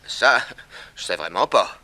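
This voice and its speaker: A man's voice: on the low side, lively intonation, a little slow, pushed and bright, heavily acted. A man acting a cocky, resentful jockey in a 1960s French radio drama, with a familiar, slangy, boastful way of talking. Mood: gossipy, obliging. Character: cocky, gossipy jockey, resentful of his boss.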